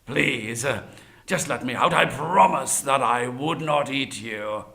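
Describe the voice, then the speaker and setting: A man voicing a sly, hungry tiger in a children's folktale, wheedling slowly and making blunt, bullying demands. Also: mid-range voice, creaky, sharp tone, heavily acted.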